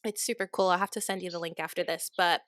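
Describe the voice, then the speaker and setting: A woman's voice: fairly low pitch, steady tone, quick pace, a bit bright, no room sound, studio quality. A woman hosting a podcast, chatting in an effusive, gushing way with lots of "like" fillers. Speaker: podcast host. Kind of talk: casual interview chat.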